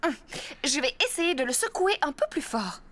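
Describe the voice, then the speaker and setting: A woman's voice: high voice, big pitch swings, moderate pace. A woman voicing a practical, confident mother pig in a cartoon, assured and matter-of-fact. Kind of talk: cartoon dub acting. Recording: loud music behind.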